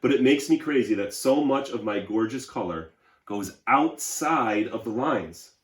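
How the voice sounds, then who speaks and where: Fussy neat-freak storybook crayon, voiced by a man: slow, indignant, prim, lifted pitch, roomy. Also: animated, lightly acted. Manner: prim, indignant, stretched emphasis. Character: fussy, neat, vain purple crayon losing patience. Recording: roomy, echoing indoor sound.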